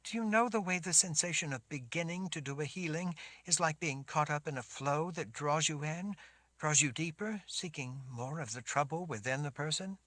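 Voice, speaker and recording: A man's voice: on the high side, normal speed, a bit bright, dry recording, voice pushed up, lightly acted. A man voicing a frightened, tearful young sorceress girl who sobs and pleads. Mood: shaky. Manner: sobbing, pleading questions.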